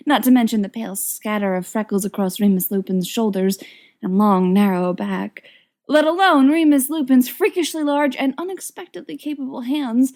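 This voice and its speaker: A woman's voice: fairly high pitch, darkish tone. A woman narrating a written story expressively, with wry, dramatic emphasis. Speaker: audiobook reader of fan fiction.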